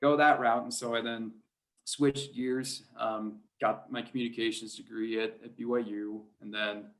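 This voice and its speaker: A man speaking as a guest in a casual interview, telling things in an informal, animated way. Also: mid-range voice, a little slow. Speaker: guest, sports play-by-play announcer.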